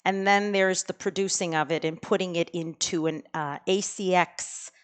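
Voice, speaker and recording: A woman's voice: fairly low pitch, fairly even intonation, normal speed. A woman with a Boston accent, an audiobook narrator explaining her recording and editing work in a casual podcast chat.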